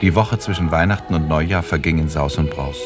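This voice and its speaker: Deep-voiced male radio play narrator. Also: fairly quick. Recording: music behind the voice.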